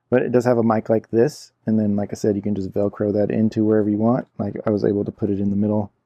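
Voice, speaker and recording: A man's voice: on the low side, level tone, fast, warm tone, no room sound, highs cut off. A man giving a casual hands-on product review in an informal, explanatory way, repeating himself as he goes. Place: indoor room at a cluttered computer desk.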